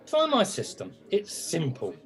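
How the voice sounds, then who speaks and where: A man's voice: fairly high pitch, wildly swooping pitch, unhurried, soft background music. A man playing a breezy Victorian gambling crony in an amateur radio play.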